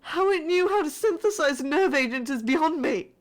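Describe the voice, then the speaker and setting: A frightened female survivor of a spaceship crew, played by a woman delivers a distress message in a fairly high voice. She holds to formal reporting, yet sounds shaken and halting, in the manner of a sci-fi horror audio drama. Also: lively intonation. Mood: anguished, near tears.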